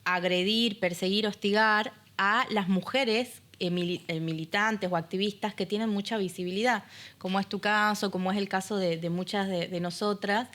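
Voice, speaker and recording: A woman's voice: mid-range voice, fairly even intonation, moderate pace, a bit bright. A woman co-hosting a podcast conversation, talking reflectively with fillers. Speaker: podcast co-host. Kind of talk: conversational discussion.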